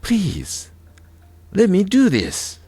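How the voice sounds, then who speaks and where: A man voicing a brave, polite fifteen-year-old folk hero in a storybook, earnest and deliberate. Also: high voice, huge pitch swings, dark tone, husky, no echo, soft background music, pitched above the performer's own voice, heavily acted. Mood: earnest, resolute. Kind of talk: storybook character voice.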